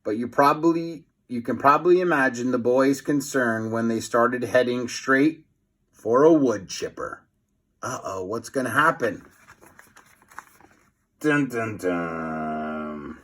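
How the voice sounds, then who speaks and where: Animated man reading a storybook, unhurried. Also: medium pitch. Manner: theatrical, teasing asides to young listeners. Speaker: storybook reader. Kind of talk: animated children's read-aloud narration.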